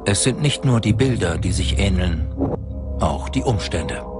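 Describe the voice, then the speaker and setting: Male narrator, lowish register, grave scripted documentary read, music underneath. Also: lively intonation, unhurried.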